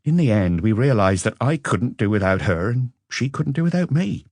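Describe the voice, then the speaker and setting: A man voicing an educated Frenchman who looks back on a passionate marriage with regret, in a measured, confessional audiobook reading. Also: medium pitch, very animated, a little mellow, no room sound, pitched above the performer's own voice. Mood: candid, resigned. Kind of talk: audiobook character dialogue.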